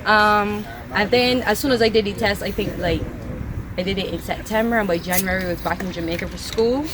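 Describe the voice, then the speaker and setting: A woman's voice: mid-range voice, a little fast, background noise. A young woman from Barbados with a Barbadian accent, a football referee, answering interview questions openly.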